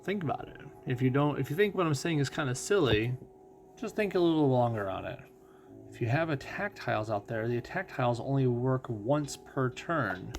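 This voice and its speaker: A man giving casual game commentary as host of a playthrough, thinking out loud and putting questions to himself. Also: medium pitch, animated, moderate pace. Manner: thinks out loud, asking and answering own questions. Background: music.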